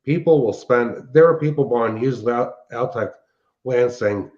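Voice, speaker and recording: A man's voice: medium pitch, measured pace, darkish tone. An older man chatting casually and technically, explaining things in a rambling way as a show host and hobbyist. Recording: dull, band-limited sound.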